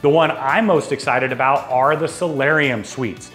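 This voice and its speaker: A man's voice: mid-range voice, normal speed, a bit bright. A man in his forties hosting a travel show, talking to camera in an upbeat, salesy way with personal asides, on a lapel mic in a studio. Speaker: travel agency host. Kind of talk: enthusiastic cruise overview to camera. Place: dark studio backdrop, indoors. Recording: faint background music.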